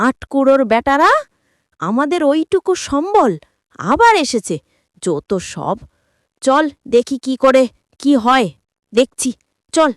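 Animated, bossy village farm woman voiced by a woman. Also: high-pitched, no echo, clean studio sound, voice pushed up. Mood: alarmed, angry. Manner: nagging, exclamatory. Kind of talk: cartoon voice acting.

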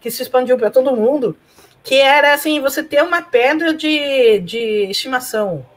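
A woman's voice: high voice, lively intonation, moderate pace. A woman from Argentina whose first language is Spanish, chatting as a guest over a video call, laughing often and tagging her sentences with questions. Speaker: guest, professor and blogger. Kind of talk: casual interview chat. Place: home room.

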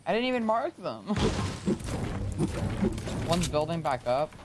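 A young man with a Texan accent, sick and sleepy, making excited, repeated callouts to his teammate. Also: on the high side, slow pace, very noisy. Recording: loud gunfire behind game chat. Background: game sounds and gunfire.

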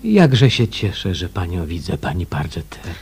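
An actor playing a genial, loyal private detective in a radio drama, gallant and obliging in manner. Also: male voice, low-pitched, sing-song, moderate pace, a little mellow, soft background music.

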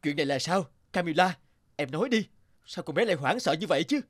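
A man's voice: a little high, lively intonation, fast talker. A man voicing a caring, worried middle-aged father in a cartoon, earnest and chiding. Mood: upset, reproachful. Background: background music.

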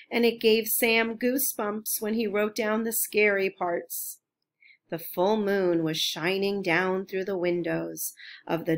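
A woman reading a children's picture book aloud as a storyteller, in a careful, expressive way. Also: fairly low pitch, gravelly. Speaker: storybook reader.

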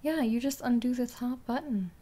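A woman's voice: on the high side, moderate pace, warm tone, close to an everyday voice. A young woman playing a bossy, teasing step-sister in an intimate ASMR roleplay, often dropping toward a whisper. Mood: teasing, relaxed.

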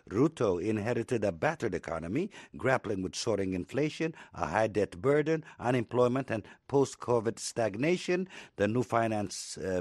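A man reading scripted news narration in an even, measured delivery. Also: medium pitch, no room sound, clean studio sound.

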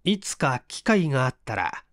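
A man narrating a literary mystery tale in a measured, dramatic storytelling manner with deliberate pauses. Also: medium pitch, very animated, fairly bright tone, no room sound, clean studio sound. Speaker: audiobook reader, stage actor.

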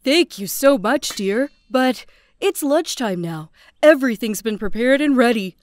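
Fussing cartoon housewife. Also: female voice, a little high, lively intonation, a little slow, no room sound. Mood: welcoming. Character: plump kindly housewife, anxious. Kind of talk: cartoon voice acting.

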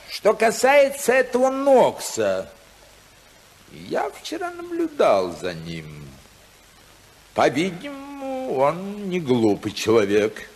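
An actor playing an imperious, stern older tycoon father in a 1950s radio play, commanding and theatrical, with deliberate pauses. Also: on the high side, darkish tone, heavily acted. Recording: 1950s radio drama recording.

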